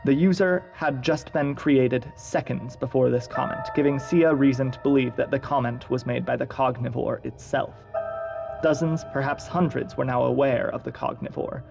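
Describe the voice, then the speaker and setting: An actor playing a solemn investigator who narrates a secret agency's audio log in a formal, measured, creaky voice. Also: male voice, mid-range voice, darkish tone.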